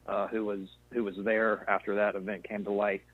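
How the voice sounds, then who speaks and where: Low flat-voiced guy, state official, matter-of-fact on a phone call, tinny line. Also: brisk.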